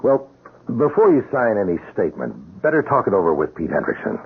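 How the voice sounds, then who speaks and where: A senior police captain in a radio drama, cautious and probing. The voice is extremely husky and creaky, with dramatic swings in pitch. It comes through a thin old broadcast. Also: male voice, very animated, fairly quick, full character voice. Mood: cautious, grave. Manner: hesitant, probing. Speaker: actor in a radio mystery drama. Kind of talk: 1970s-style radio drama acting. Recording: tinny, band-limited old radio broadcast.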